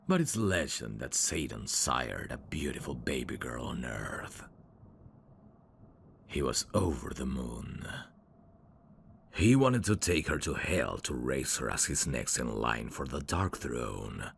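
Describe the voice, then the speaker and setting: A man voicing a sly demon servant in an ASMR audio drama, courtly and theatrical with irreverent, sardonic asides and a very deep, gravelly voice. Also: slight background noise, heavily acted.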